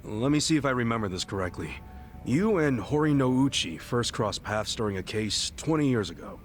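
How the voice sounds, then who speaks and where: An earnest, streetwise American ex-gangster from a video game , voiced by a man. His mid-pitched voice moves in lively swings, and he speaks casually and slangily, loyal and warm-hearted, over faint music. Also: moderate pace, close to an everyday voice. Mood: concerned, curious. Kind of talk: English video game dub acting, conversational.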